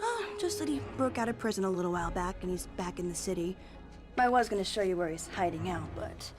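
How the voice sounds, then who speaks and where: Sly, animated, teasing lady thief an actress plays. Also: lowish voice, fast, natural voice. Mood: sly goading. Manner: sly, playful, sardonic. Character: flirtatious, teasing, cocky female thief. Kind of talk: video game acting. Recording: music behind the voice.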